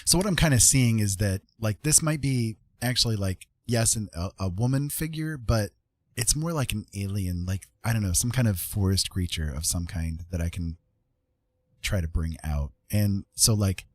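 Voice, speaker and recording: A man with a low, somewhat rough-edged voice talks casually, as a streamer would while making art. He thinks out loud, rambling and qualifying what he says as he goes. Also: normal speed, dry recording. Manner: rambling, hedging, thinking aloud; laughs often. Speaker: livestream host, digital artist.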